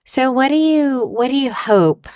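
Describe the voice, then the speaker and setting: A woman's voice: high voice, animated, measured pace, darkish tone, dry recording. A woman interviewing a guest as a food and travel writer, asking thoughtful, reflective questions. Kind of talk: conversational interview.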